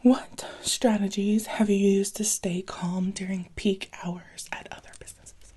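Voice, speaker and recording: A woman with a very breathy, whispery voice and a mellow tone plays an interviewer in an ASMR roleplay. She speaks softly and conversationally, with a gentle, encouraging manner. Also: mid-range voice, level tone, measured pace. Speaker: ASMR roleplayer playing a restaurant job interviewer.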